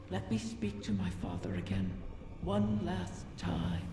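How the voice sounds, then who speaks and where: An actor playing an ancient, sly and mournful wish-granting dragon in a video game, with gravelly voice, slow theatrical musing and cavernous reverb. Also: male voice, on the high side, exaggerated voice. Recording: heavily reverberant, cavernous processed voice. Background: music.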